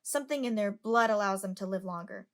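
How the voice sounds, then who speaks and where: A woman's voice: mid-range voice, quick pace, lightly acted. A woman in an amateur audio drama playing a curious, outspoken young passenger who chats and lectures. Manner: chatty, lecturing.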